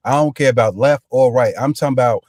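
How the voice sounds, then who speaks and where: A man's voice: medium pitch, quick pace, darkish tone, no echo, studio quality. Stammering, pushy man in a debate. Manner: pushy, stammering restarts, street slang. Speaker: mentoring program assistant director. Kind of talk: heated debate.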